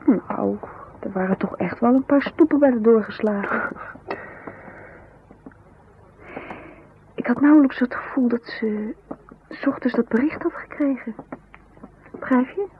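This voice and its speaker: A woman's voice: fairly high pitch, moderate pace, natural voice. An actress playing a restless, needling wife in a 1970s radio drama, pointed and exasperated in her delivery. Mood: irritated, reproachful. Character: restless, nagging wife, scornful and fearful, urging escape. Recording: thin phone-like vintage radio, faint hiss.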